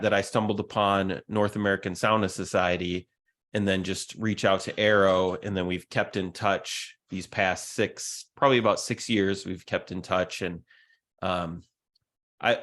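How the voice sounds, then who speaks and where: A man from California with a fairly low voice, chatting as a podcast guest. He talks in an easygoing, reflective way and leans on small fillers as he thinks. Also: fairly bright tone. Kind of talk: casual podcast chat.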